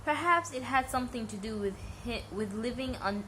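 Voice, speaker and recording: A woman's voice: on the high side, normal speed, sharp tone, a little hiss or hum, low-quality recording. A woman narrating a children's bedtime story as an amateur reader.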